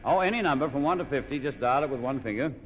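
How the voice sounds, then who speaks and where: A man's voice: medium pitch, lively intonation, quick pace, muffled recording. A man with an Australian accent hosting an old-time radio quiz show, chatty and folksy, bantering and plugging the sponsor. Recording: vintage radio recording, echoey hall, background noise.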